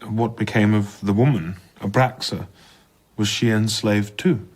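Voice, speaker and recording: An actor playing a sceptical listener in an audio drama, putting probing questions in a dry, husky voice. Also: male voice, lowish voice, steady tone, measured pace. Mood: curious.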